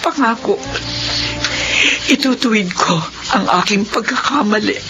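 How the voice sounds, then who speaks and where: A woman's voice: a little high, animated, unhurried. An actress playing a remorseful middle-aged mother who pleads for forgiveness, in a classic radio drama. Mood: pleading. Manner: earnest, pleading. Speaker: mother in radio drama, narrator of her own story. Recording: dull lo-fi radio recording. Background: music.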